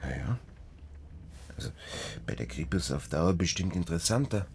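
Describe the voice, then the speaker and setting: Very deep-voiced, phlegmatic Bavarian policeman. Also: animated, moderate pace, a little mellow, a little hiss or hum. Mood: low-key, sincere. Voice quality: hoarse. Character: phlegmatic, loyal small-town Bavarian policeman, uneasy. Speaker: rural police officer.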